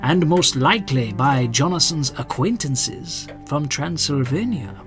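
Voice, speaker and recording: A man playing a courtly older doctor and stern vampire hunter in an audio drama, with measured, grandiose speech. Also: fairly high pitch, expressive. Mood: grave. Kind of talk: theatrical audio drama acting. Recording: music under the dialogue.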